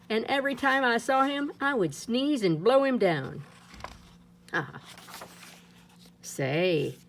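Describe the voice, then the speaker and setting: A woman reading a rhyming children's picture book aloud in a playful, lilting way, her pitch swinging up and down. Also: fairly bright tone, a little hiss or hum. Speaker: storybook reader.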